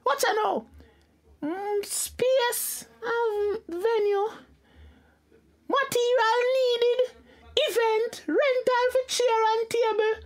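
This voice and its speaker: A man's voice: very high voice, fairly even intonation, slow pace, heavily acted. A man playing a cocky, aggrieved Jamaican street hustler in a comic radio drama, argumentative and repetitive. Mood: self-satisfied.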